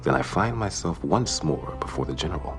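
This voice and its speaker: An actor playing a Klingon cadet, narrating formally and gravely in a video game cutscene. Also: male voice, sits low, animated, a little fast, music in the background. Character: Klingon cadet narrating his return to duty.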